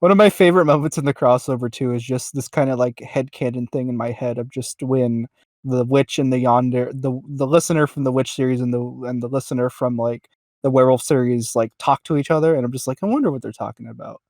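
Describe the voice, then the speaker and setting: A man interviewing casually as a podcast host and fan, speaking in a hesitant, halting way with many ums. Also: mid-range voice, a little mellow, no echo, studio quality.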